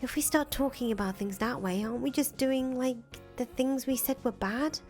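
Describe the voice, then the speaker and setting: A woman's voice: high voice, normal speed, darkish tone, natural voice. A woman voicing an incredulous, sarcastic young woman in a visual novel, exasperated and foul-mouthed. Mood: exasperated, doubting. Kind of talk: visual novel character voice reading. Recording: music playing behind the voice.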